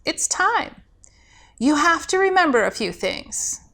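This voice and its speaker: Woman voicing high, emphatic mentor angel Gabriel. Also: very animated, slow, a bit bright, full character voice. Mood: urgent, encouraging. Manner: emphatic, coaching. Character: senior angel Gabriel, firm encouraging mentor.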